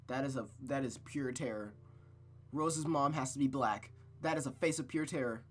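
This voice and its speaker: A host reading a visual novel aloud, then breaking off into blunt, excited asides. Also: medium pitch, a little hiss or hum. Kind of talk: narration with casual reactions.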